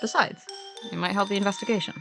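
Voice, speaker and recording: A woman's voice: medium pitch, fairly even intonation, fast, vocal fry. Woman co-narrating playfully over guitar music. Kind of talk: conversational scripted story narration. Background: plucked guitar music.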